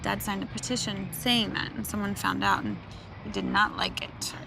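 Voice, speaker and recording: A woman acting as a calm, practical wife and mother in a naturalistic film. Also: mid-range voice, moderate pace, gravelly, background noise. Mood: calm explaining.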